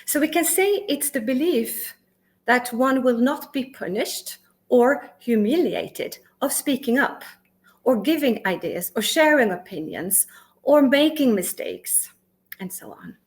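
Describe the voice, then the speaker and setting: A middle-aged woman from Sweden gives a prepared conference talk in English. She speaks with emphasis, asks the audience questions, lists words one at a time with pauses, and makes small grammar slips and restarts. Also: fairly high pitch, big pitch swings. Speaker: conference speaker and workplace consultant.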